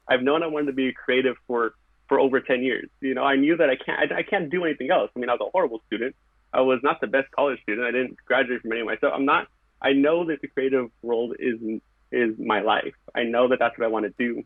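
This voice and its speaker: A man's voice: mid-range voice, fast, no room sound. Earnest man on a tinny, phone-like line. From California. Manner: earnest and reflective, talks in runs of short phrases with filler. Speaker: podcast guest, background painter. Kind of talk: casual conversation.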